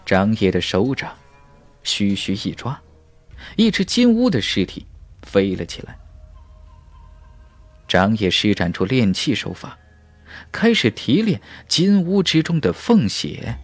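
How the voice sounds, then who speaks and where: A male narrator reads fantasy fiction with dramatic emphasis and measured phrasing. His pitch swings in a lively way, and the sound is dry with soft music behind it. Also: no echo. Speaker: audiobook narrator. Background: faint music.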